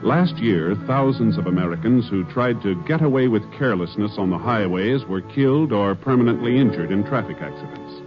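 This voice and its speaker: A man's voice: fairly low pitch, animated, a little fast, hardly any pauses. A man announcing in formal, emphatic 1950s radio style, reading from a script. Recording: dull 1950s radio broadcast with music.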